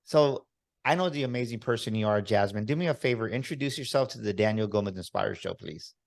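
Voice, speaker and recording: A man's voice: lowish voice, brisk, vocal fry. A man hosting a motivational podcast, talking with enthusiastic, emphatic hype-man energy. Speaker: podcast host. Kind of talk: upbeat motivational podcast interview.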